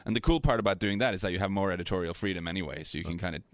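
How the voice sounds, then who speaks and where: A man's voice: low-pitched, rapid-fire, no room sound. A Norwegian man in his forties with a Norwegian accent, a football writer telling stories as a podcast guest in a laid-back, chuckling way. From Norway. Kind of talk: casual podcast chat.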